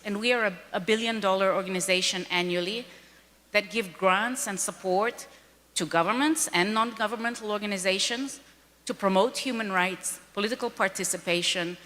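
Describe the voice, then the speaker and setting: A woman's voice: medium pitch, fairly bright tone. Earnest woman speaking in an echoing hall. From Kenya. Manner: earnest, emphatic, repeats key points. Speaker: panel speaker, foundation president. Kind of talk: speech at a panel event. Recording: long reverb of a large hall.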